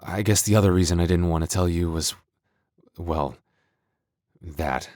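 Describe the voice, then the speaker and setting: A man playing a gentle, sheepish young werewolf boyfriend in an intimate ASMR roleplay, speaking softly and hesitantly. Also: low-pitched, measured pace, dry recording, clean studio sound. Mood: apologetic, uneasy. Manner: soft, hesitant, stammering.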